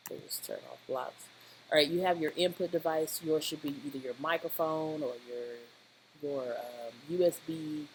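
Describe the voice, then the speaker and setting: A software tutorial presenter talking informally through the steps in a rambling, halting way, often checking in with a short question. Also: mid-range voice. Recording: clearly noisy home-style voice-over recording.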